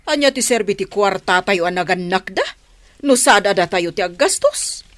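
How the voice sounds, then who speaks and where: A woman's voice: medium pitch, expressive, normal speed, lightly acted. A woman playing a worried character in a radio drama, questioning pointedly and expressively. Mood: concerned, questioning.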